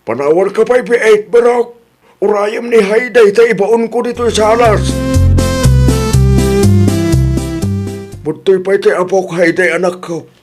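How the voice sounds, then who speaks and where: A man's voice: high voice, normal speed, over music, natural voice. A man acting a patient older father who gives advice in a Filipino radio drama. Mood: welcoming. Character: older father, a calm, advising Filipino grandfather.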